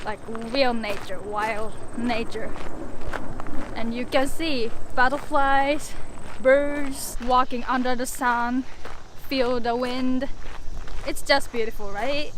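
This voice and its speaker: A woman's voice: fairly high pitch, fairly even intonation, slow pace, noisy background. A woman whose first language is Japanese, chatting to viewers in a casual exploring vlog while walking outdoors on a camera mic. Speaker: urban explorer vlogger. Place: outdoors at an old fort, walking. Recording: background music over poor outdoor audio.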